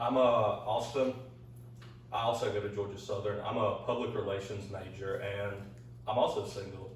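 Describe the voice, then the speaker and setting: A man's voice: lowish voice, fairly even intonation, moderate pace, a little hiss or hum. A man of twenty-one speaking casually as a student on a discussion panel. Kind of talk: casual group chat. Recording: very echoey, distant, faint music.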